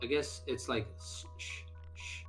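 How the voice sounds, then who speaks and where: A man's voice: measured pace, a bit bright, soft background music. A man from Greece with a Greek accent, a game developer chatting casually on a live stream, talking with frequent fillers and restarts.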